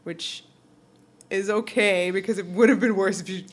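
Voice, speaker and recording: A woman's voice: medium pitch, fairly even intonation, moderate pace. Giggly woman podcaster in casual chat. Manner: giggly, conversational, peppered with "like". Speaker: podcast co-host.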